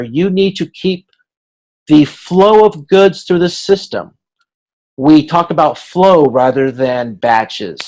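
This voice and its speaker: Halting male instructor explaining step by step. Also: on the high side, a little mellow. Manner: explanatory, halting, checks understanding, acts out hypothetical dialogue. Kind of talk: informal online lecture. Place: video conference class.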